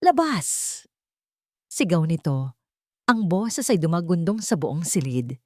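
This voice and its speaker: A woman's voice: low-pitched, animated, measured pace, mellow, dry recording, studio quality. A woman narrating a scripted romance story as a dramatic storyteller.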